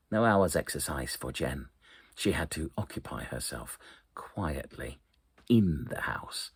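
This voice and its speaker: Very deep, husky male storyteller. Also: no room sound, clean studio sound. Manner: hushed, suspenseful, deliberate phrasing with dramatic pauses. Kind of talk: dramatic narration of an eerie short story.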